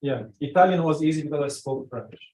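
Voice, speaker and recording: A man's voice: fairly high pitch, fairly quick, dark tone, slight background noise. Emphatic Arabic-speaking man in an echoey room. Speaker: speaker at a community meeting on personal branding. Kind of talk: informal talk to a small group. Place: indoor meeting room. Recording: echoey room, far from the mic.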